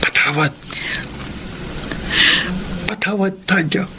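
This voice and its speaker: A guilt-ridden Filipino man, noisy ambience. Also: high-pitched. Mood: remorseful. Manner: halting, heavy-hearted, apologetic. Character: guilt-ridden, remorseful man begging an old lover's forgiveness. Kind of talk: Filipino radio drama acting.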